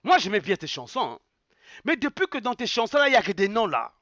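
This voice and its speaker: A man rants angrily to his online audience, hammering his points through repetition. His voice is bright and sharp and sits fairly high. The recording is dry and muffled, with a lo-fi sound. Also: sing-song, fast, no echo. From Republic of the Congo. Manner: angry, emphatic, repeats phrases, drops Lingala words. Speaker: online commentator. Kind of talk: heated evening rant to camera.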